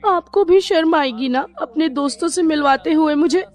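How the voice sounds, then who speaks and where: A woman playing a gentle, dutiful young Indian daughter-in-law, deferential in a cartoon story. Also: high-pitched, moderate pace, lightly acted. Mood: hurt. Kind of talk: Hindi animated moral story dialogue. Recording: music bed behind the voice.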